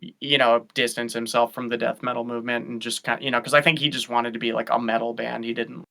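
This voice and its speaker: A man's voice: medium pitch, flat delivery, fast, vocal fry, sharp tone. A man guesting on a casual chat, thinking aloud with many restarts and fillers. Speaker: guest vocalist. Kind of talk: casual chat over a stream.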